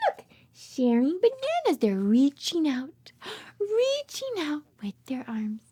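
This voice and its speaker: A woman's voice: high-pitched, wildly swooping pitch, slow, warm tone. A woman reading aloud to babies and toddlers in an exaggerated child-directed way, with simple repeated phrases and questions. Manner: exaggerated child-directed delivery with dramatic gasps and whispers. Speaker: children's read-aloud host.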